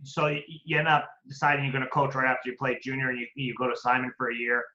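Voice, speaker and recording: A male interview host with a bright, forceful voice asks friendly, loosely worded questions. His audio is dull and lo-fi, with a roomy echo. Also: mid-range voice, level tone, quick pace. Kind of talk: casual interview. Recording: echoey, dull lo-fi sound.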